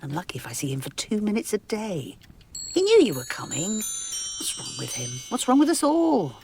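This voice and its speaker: An actress playing an imperious, gossipy and anxious middle-aged society matriarch in a radio drama, with theatrical, breathy asides. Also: female voice, medium pitch, wildly swooping pitch, moderate pace, noisy background.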